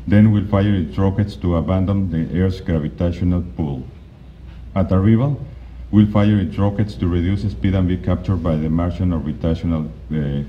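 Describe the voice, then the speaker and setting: Low-voiced man presenting slides. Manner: halting, with frequent restarts and fillers. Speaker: conference presenter. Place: conference hall. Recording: dull, lo-fi recording with faint noise.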